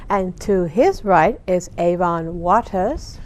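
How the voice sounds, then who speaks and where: An older woman hosts a local TV talk show. She speaks slowly in a slightly low voice, chatty and full of small reactions. She is recorded on a lapel mic in a small studio. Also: lively intonation, darkish tone. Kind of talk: casual interview chat.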